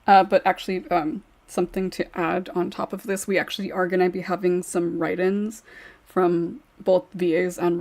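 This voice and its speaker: A woman with a slightly low voice hosts a relaxed panel chat. She talks informally and a little hesitantly, feeling her way through each point. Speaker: podcast host. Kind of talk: casual panel discussion.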